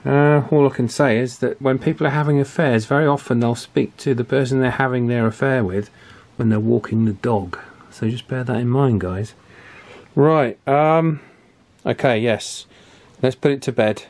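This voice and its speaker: A middle-aged man with a mid-pitched voice talks through hands-on technical work in an informal way. He speaks hesitantly, thinking aloud, in the manner of a repair technician presenting from the bench. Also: moderate pace, a little mellow. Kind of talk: informal hands-on workbench commentary. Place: small indoor workshop, at the bench.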